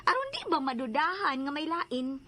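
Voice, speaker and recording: High, animated gossipy friend. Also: female voice, moderate pace, a little hiss or hum, exaggerated voice. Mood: gossipy, insinuating. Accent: Cebuano Filipino. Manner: gossipy, insinuating. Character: Liza's gossiping, suspicious, blunt woman friend. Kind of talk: Filipino radio drama acting.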